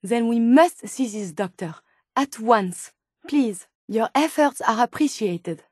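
An actress voicing a gentle, earnest female robot scientist turned human in a video game, with a French accent and formal, precise phrasing. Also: a little high, expressive, slow, no echo, clean studio sound. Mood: tender, grateful. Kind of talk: video game character dialogue.

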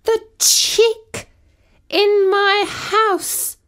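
A woman's voice: extremely high pitch, level tone, extremely slow, fairly bright tone, full character voice. A woman voicing a proud, vain magical cat narrating a children's story, with a haughty, dramatic manner. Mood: indignant.

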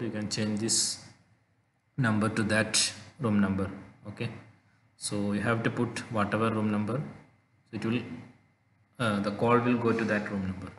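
Echoey low-voiced man giving tech instructions. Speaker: tutorial presenter. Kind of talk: unscripted step-by-step technical tutorial. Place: indoor room, reverberant, faint noise behind. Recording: echoey reverberant room, background noise.

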